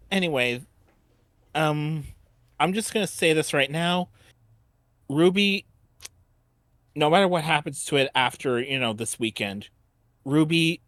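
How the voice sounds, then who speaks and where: Halting man hosting a casual panel, close dry sound. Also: mid-range voice, fairly bright tone, no room sound. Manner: halting, repeats and restarts phrases. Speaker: panel host. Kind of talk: casual unscripted fan panel chat.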